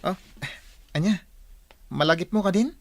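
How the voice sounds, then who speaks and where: Actor voicing younger brother, chatty, matter-of-fact, quick questioning, dry studio-like sound, radio drama. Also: mid-range voice, no echo, close to an everyday voice. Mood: curious, matter-of-fact. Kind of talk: Ilocano comedy radio drama acting.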